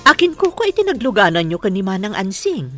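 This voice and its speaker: A woman playing a nosy, gossiping household servant in a radio drama, with a rough husky voice. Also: mid-range voice, sing-song, fast, fairly bright tone, soft background music. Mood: inquisitive.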